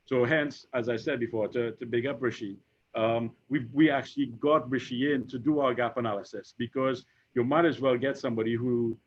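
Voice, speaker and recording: A Trinidadian man in his fifties, an insurance executive speaking on a panel, who talks haltingly with many repeated words and fillers. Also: medium pitch, steady tone, a little hiss or hum. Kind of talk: conversational panel discussion. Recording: dull lo-fi recording.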